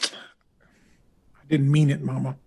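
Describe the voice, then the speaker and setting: A high-voiced performer playing a guarded, wry young adult son in an American audio drama, with a husky, earnest delivery. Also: level tone, takes it slowly, warm tone, heavily acted. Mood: apologetic.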